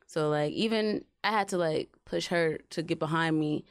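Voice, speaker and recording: A young woman from Guyana, a singer-songwriter guest on a radio show, telling her story candidly and relaxed into a studio mic. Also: low voice, moderate pace, clean tone. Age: young adult. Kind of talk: casual interview. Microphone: studio boom mic.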